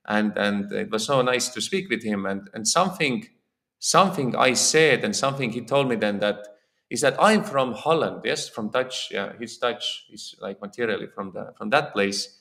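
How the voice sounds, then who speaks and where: A man's voice: fairly low pitch, vocal fry, a bit bright. A man with an Estonian accent gives an informal religious lecture in a temple, speaking earnestly and often repeating words while he searches for phrasing. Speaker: lecturer on scripture. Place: temple hall.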